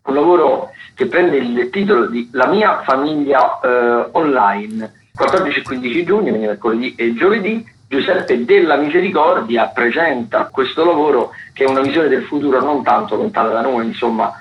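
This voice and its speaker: A man giving theatre listings on the radio in a conversational, halting way, often repeating and correcting himself. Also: mid-range voice. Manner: halting, frequent repetitions and self-corrections. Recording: dull lo-fi sound, clear background noise.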